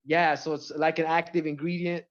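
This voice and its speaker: A man from Mexico and Central California, a rapper, chatting casually in an interview with a halting, repetitive delivery full of filler phrases. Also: a little high, little pitch movement, lo-fi sound. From born in Mexico, grew up in Central California. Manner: halting, repeats words, frequent "you know" and "like".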